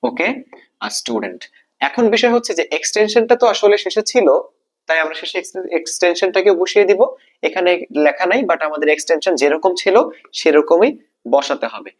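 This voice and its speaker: A man's voice: sits high, fairly quick. A man with a Bangladeshi accent teaching English grammar step by step, often repeating points and posing questions he then answers. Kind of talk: explanatory exam-prep lesson, step by step.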